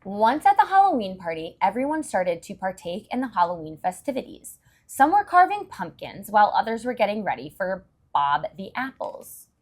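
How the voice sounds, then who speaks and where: A woman's voice: medium pitch, big pitch swings, normal speed. A woman reading a storybook aloud to young children, with encouraging, explanatory asides.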